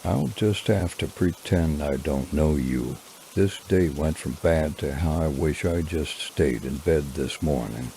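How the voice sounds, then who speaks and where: A man playing a gruff, sardonic detective with a gravelly voice and a bitter edge, in a horror drama. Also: extremely low pitch, unhurried, mellow. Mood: sardonic, grumpy. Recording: clear rain noise behind the voice.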